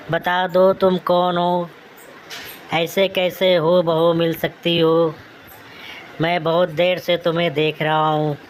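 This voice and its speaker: A woman's voice: on the low side, a little hiss or hum, rough recording. A woman voicing a puzzled, uneasy young man in a ghost story.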